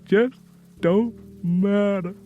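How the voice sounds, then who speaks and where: A man voicing a despairing, broken young pregnant woman in an audiobook drama, speaking in a slow, halting, bleak way. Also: high-pitched, fairly even intonation, mellow, dull audio, soft background music, voice pushed up.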